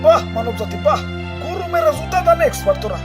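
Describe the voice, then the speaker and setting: A man's voice: extremely high pitch, wildly swooping pitch, fast talker, full character voice. A man with a Bangladeshi accent voicing a boastful, flirtatious, hot-tempered sun in a comic cartoon, swaggering and sarcastic. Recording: music behind the voice.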